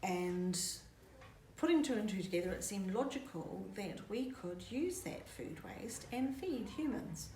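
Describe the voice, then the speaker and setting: A woman explaining her community food project in a measured, earnest way. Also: medium pitch, expressive, mellow. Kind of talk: documentary interview. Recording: echoey room, distant mic, faint noise.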